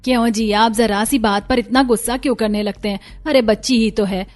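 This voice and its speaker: A woman's voice: a little high, fairly even intonation, fairly quick, a little mellow, natural voice. A woman voicing a firm, peacekeeping middle-aged mother-in-law in a cartoon story, reasoning and admonishing. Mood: gently protesting. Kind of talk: Hindi cartoon story voice acting.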